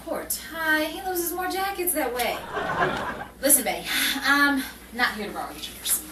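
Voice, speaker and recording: A woman acts a worried, insistent wife from a 1940s radio comedy. Her pitch is high and very lively as she pleads and gets exasperated. She sounds off-mic in an echoey space, with clear noise and chatter behind her. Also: moderate pace. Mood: worried pleading. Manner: earnest, pleading, easily exasperated. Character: anxious, insistent 1940s wife seeking her missing husband. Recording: echoey, distant mic, noisy background chatter.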